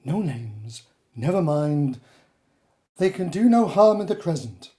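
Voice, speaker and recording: A woman playing a stern, condescending authority figure in a radio drama, measured, formal and dismissive. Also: low-pitched, very animated, dark tone, exaggerated voice. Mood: stern, imperious. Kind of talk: audio drama acting.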